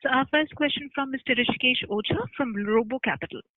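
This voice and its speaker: A woman's voice: high voice, fast, dry recording. A woman making formal, scripted announcements as a conference call operator. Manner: formal, procedural. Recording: dry narrowband telephone line.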